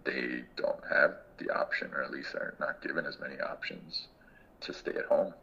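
A man in his thirties, a guest in a casual interview, speaking in a thoughtful, reflective way and pausing often mid-sentence. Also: very deep voice, fairly even intonation, creaky, pushed and bright, dull audio. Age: 30s. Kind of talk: casual conversation.

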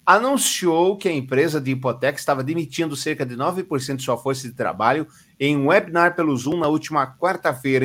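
Brazilian man reading news, sarcastic asides. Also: on the high side. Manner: reads news stories aloud, then comments sarcastically. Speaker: podcast co-host reading news. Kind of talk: casual news-reading podcast chat.

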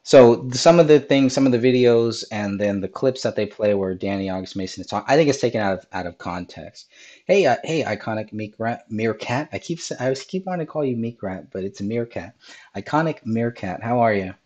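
Raspy-voiced man rambling through commentary. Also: on the low side, animated, brisk, a little mellow. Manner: rambling, many ums and restarts. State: hoarse from a cold. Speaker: podcast host. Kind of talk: live-stream commentary over video clips.

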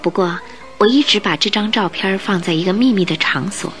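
A woman's voice: mid-range voice, a little fast, a bit bright, soft background music, lightly acted. A woman playing a clever, wary and determined heroine in a Mandarin radio drama. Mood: wary, earnest. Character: clever adventurous woman, wary, determined, polite, newly married.

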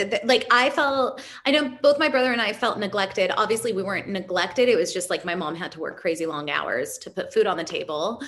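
A woman's voice: on the high side, fairly bright tone. A woman from California speaking candidly and reflectively as a guest in a casual interview, explaining her ideas as a spiritual teacher. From California, United States, immigrated at four.

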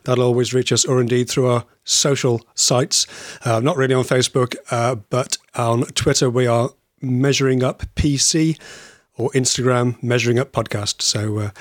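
A man's voice: medium pitch, normal speed, dry recording. Hesitant man, dry close sound. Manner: hesitant, many uh fillers and restarts, friendly. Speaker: podcast co-host. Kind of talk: casual chat.

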